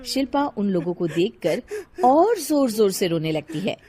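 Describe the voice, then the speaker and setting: A woman narrating a cartoon story in a soft, even storytelling delivery. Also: medium pitch, normal speed, a crowd in the background.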